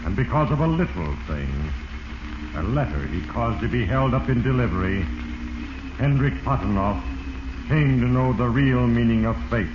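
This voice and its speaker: A man playing Fate, an ominous narrator of a 1940s radio drama, speaking in a grave, portentous, deliberate way. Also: medium pitch, close to an everyday voice. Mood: grave, foreboding. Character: Fate, ominous male narrator judging doomed mortals. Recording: noisy, dull, echoey 1940s radio recording.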